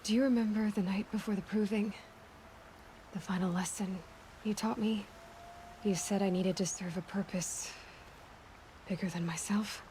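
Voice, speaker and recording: Hushed young warrior woman, played by an actress, ambience behind. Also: medium pitch, steady tone, a little hiss or hum, close to an everyday voice, rough recording. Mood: solemn resolve. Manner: hushed, breathy, halting delivery. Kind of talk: cinematic video game acting.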